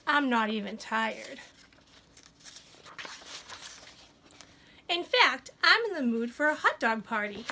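A woman's voice: sits high, fairly bright tone, exaggerated voice. A woman voicing a stubborn little pigeon from a picture book, pleading against bedtime in a whiny, wheedling, dramatic way. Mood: defiant. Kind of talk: animated picture-book read-aloud. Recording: some room noise behind.

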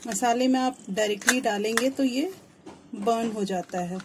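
Female home cook, highish voice, instructive tutorial delivery, frying noise in the background. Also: moderate pace. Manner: instructive, mixes in English words. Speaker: home cook presenting her recipe channel. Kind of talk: step-by-step cooking tutorial voice-over. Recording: clear kitchen noise, frying sizzle. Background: sizzling food and stirring.

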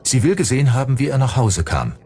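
A man's voice: medium pitch, very animated, fast, warm tone. A man giving scripted true-crime documentary narration as the narrator. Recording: music bed under the voice.

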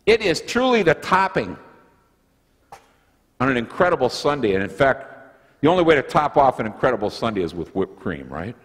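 Animated older pastor in echoing church. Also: male voice, medium pitch, moderate pace, long pauses. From United States. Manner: lively storytelling, folksy asides. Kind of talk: sermon with object lesson. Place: church sanctuary, indoors. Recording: long church reverb, slightly husky sound.

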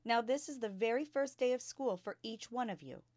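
An earnest, kindly young schoolteacher from a children's storybook, acted out by a woman reader. She addresses her class with clear, emphatic instruction, and the sound is close and dry. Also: on the high side, normal speed, a little mellow, no echo, voice pushed up, close to an everyday voice. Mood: earnest, cautioning. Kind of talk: children's storybook read-aloud.

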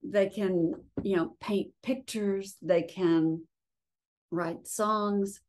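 A woman hosting an interview as a cookbook publisher, asking measured questions over a video call from her living room. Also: medium pitch, fairly even intonation, a little mellow. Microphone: laptop webcam on video call.

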